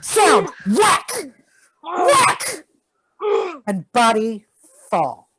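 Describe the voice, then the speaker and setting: Very slow woman reading sound cues. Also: on the high side, huge pitch swings, pushed and bright, a little hiss or hum. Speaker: sound effects reader.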